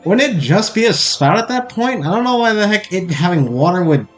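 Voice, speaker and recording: A man's voice: high-pitched, very animated, brisk, soft background music. A young man with a Boston accent, giving casual, rambling, self-correcting commentary as the host of a game playthrough. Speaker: let's play host.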